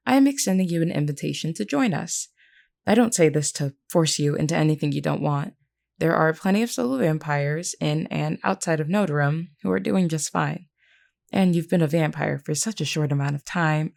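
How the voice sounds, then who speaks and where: A low-voiced vampire queen played by a woman, composed and solemn, with a gravelly fry in her tone. She speaks formally and earnestly, like a caring leader in an audio drama, reassuring and measured. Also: darkish tone. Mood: formal, welcoming. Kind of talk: scripted character roleplay audio.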